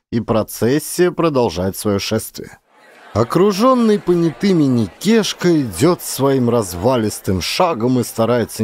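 A man's voice: mid-range voice, darkish tone, dry recording, clean studio sound. A man giving a dramatic, scripted narration of literary fiction as an actor.

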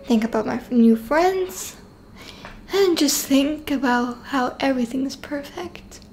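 Woman playing a high-voiced, dramatic, chatty young girl. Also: measured pace, a little mellow, a little hiss or hum. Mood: cheerful musing. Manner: chatty, dramatic, thinking aloud. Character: excitable, self-assured young girl, new in town. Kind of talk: voiced video game roleplay.

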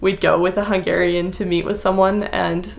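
A young woman from the United States chatting casually to the camera in a small room, relaxed and full of "like" fillers. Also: lowish voice, normal speed, vocal fry. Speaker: vlogger. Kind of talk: casual talking-head vlog. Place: small indoor room with plain wall.

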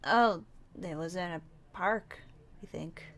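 A woman's voice: on the low side, slow pace, a bit bright. A woman playing a nervous, stubborn zombie-apocalypse survivor in an improvised game roleplay, speaking hesitantly. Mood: hesitant, curious.

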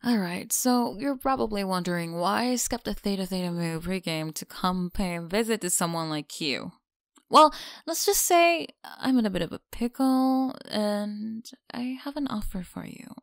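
A woman's voice: medium pitch, lively intonation, moderate pace, creaky, no echo, clean studio sound. A young woman with an American accent playing a mean, teasing college bully in an ASMR audio roleplay, mocking and slangy. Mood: bossy, dismissive. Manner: mocking, sighing, casual slangy talk. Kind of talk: ASMR audio roleplay, one-sided dialogue.

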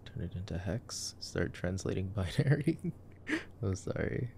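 A man playing a nerdy, affectionate boyfriend in an ASMR sleep-aid roleplay, soft-spoken, teasing and rambling as he comments on a movie. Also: low-pitched, expressive, unhurried, gravelly, a little hiss or hum, full character voice. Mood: amused, curious.

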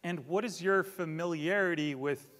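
A man's voice: on the high side, normal speed, fairly bright tone. Upbeat man presenting on a reverberant stage. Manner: upbeat, theatrical stage delivery. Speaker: product presenter. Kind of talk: live product launch keynote. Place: large dark auditorium stage. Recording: long hall reverb.

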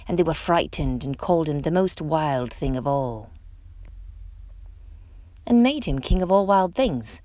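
A woman reading a children's picture book aloud in an expressive, dramatic storytelling manner. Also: sits low, dry recording. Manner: dramatic, theatrical storytelling with drawn-out phrases. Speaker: storytime reader.

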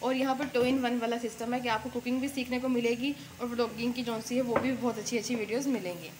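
A woman's voice: on the high side, fairly even intonation, quick pace, background noise. A young woman hosting a casual home cooking vlog, chatting to viewers and explaining each step. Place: home kitchen.

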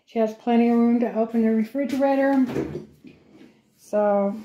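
A middle-aged woman talks through her handiwork casually and plainly, taking her time. Her voice sits a little high, and it was caught in a very roomy space with music mixed in. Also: steady tone, normal speed, dark tone, dull audio, a little hiss or hum. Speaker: DIY renovation vlogger. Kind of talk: casual project walkthrough.

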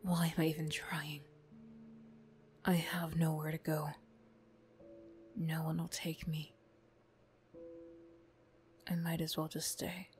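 A woman's voice: low voice, little pitch movement, slow pace, long pauses, darkish tone, soft background music. Defiant, wounded young bully girl, acted by a woman. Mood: hushed, bitter. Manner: emotional, blunt, defensive, swearing. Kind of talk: ASMR roleplay acting.

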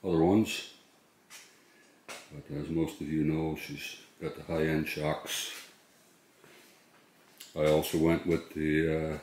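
A man's voice: deep voice, a little mellow, reverberant. A middle-aged man chatting casually to viewers as a vlog host, in an informal, hesitant way. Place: inside a moving truck and a garage.

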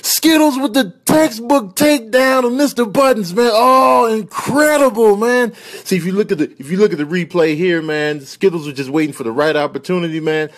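A man putting on an emphatic, excitable sports commentator who repeats himself as he calls animal fights in a comedy dub. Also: moderate pace, natural voice. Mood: excited. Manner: booming, emphatic, repetitive.

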